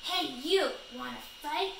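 A woman's voice: sits high, takes it slowly, far from the mic, heavily acted. A woman reading a storybook as a grouchy, pushy little ladybug, blunt and dismissive, always spoiling for a fight. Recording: roomy, clearly noisy home recording.

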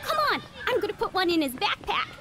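A woman's voice: extremely high pitch, sing-song, fast. A woman playing an earnest, insistent six-year-old girl in an audio drama, pleading and inquisitive. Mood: eager, insistent.

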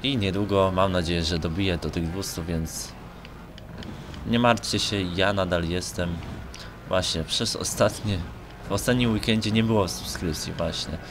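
Low-voiced young man, noisy recording. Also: moderate pace. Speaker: gaming video creator. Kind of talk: casual rambling talk over gameplay. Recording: noisy home microphone recording. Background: noise with car engine sounds from the game.

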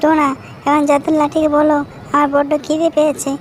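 A woman plays a tiny, excitable cartoon bird with an extremely high voice and little melodic movement. It exclaims eagerly and repeats its phrases. There is faint noise behind it. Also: brisk, close to an everyday voice. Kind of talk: children's cartoon story dialogue.

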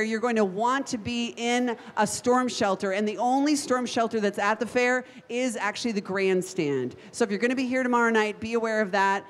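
An upbeat woman delivers a live weather forecast, her voice sitting on the higher side. She chats easily on a handheld mic. Her voice rings with a long reverb over faint fair noise. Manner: upbeat, chatty, greets guests. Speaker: TV meteorologist. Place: outdoor state fair stage.

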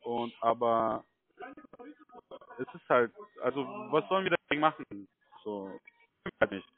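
A man from Germany talking casually on the phone in a halting, repetitive way, often checking he is followed. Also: medium pitch, animated. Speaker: call center recruiter. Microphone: telephone. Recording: tinny phone line.

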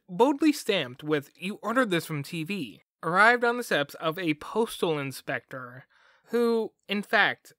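Higher-pitched man narrating a podcast. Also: bright, forceful tone, dry recording, clean studio sound. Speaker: podcast host. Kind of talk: scripted documentary narration.

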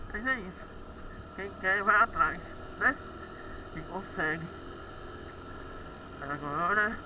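A Brazilian man with a high voice talks casually to his followers as he rides. The audio is dull and muffled, with clear engine and road noise around him. His delivery is informal and addressed straight to the viewer. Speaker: motorcycle vlogger and delivery rider. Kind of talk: casual chat to viewers while riding. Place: outdoors on a moving motorcycle at night. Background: motorcycle engine and road noise.

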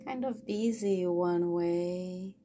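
A woman hosting a guided relaxation practice, speaking in a gentle, unhurried way with long pauses. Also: on the low side. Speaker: podcast host and guided relaxation teacher. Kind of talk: guided relaxation, slow reflective narration. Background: soft plucked guitar music.

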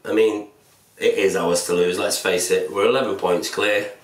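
A man's voice: low-pitched, steady tone, normal speed, far from the mic. Bearded man in a roomy room, set back from the mic. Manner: chatty, plain-spoken, self-described pessimist. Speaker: football fan vlogger. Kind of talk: casual solo commentary to camera. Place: small indoor room, seated at a table.

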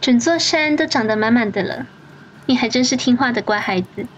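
A woman's voice: fairly high pitch, brisk, dull audio, a little hiss or hum, lightly acted. A woman playing a sly, menacing mistress of a house in an audio drama, mocking and commanding in a mock-sweet way. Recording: background music under the voice.

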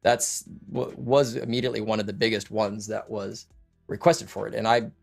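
A man's voice: fairly low pitch, flat delivery, moderate pace, gravelly, faint music behind. A man in his thirties hosting a casual interview over a video call from his home, chatting loosely with many fillers and restarts. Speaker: host. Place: home room with lit wall panels and couch.

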